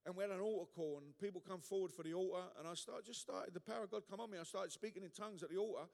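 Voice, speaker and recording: Male pastor, high-pitched voice, emphatic colloquial sermon delivery, hall reverb. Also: racing, creaky, darkish tone. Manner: emphatic, colloquial, with rhetorical questions and calls for amen. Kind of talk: passionate sermon preaching.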